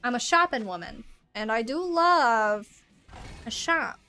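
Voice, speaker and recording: A woman's voice: on the high side, big pitch swings, measured pace, sharp tone, soft background music, voice pushed down, exaggerated voice. A woman playing a brash, exasperated nagging mom with a nasal New York accent, combative and repetitive. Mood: aggrieved. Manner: combative, repetitive, swearing, mock-offended.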